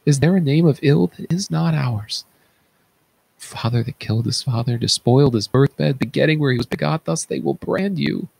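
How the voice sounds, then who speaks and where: A man's voice: mid-range voice, moderate pace, creaky, a little mellow, husky, voice pushed up. A man playing a blinded fallen king, an anguished and tender father, in a theatrical reading of Greek tragedy. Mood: grieving. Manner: theatrical, grief-laden declaiming. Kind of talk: read-aloud Greek tragedy.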